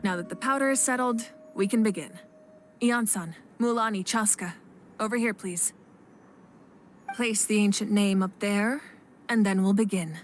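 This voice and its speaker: A woman's voice: mid-range voice, normal speed, long pauses, close to an everyday voice. A woman as composed, noble young warrior queen. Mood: calm, commanding. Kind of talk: video game voice acting. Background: faint background music.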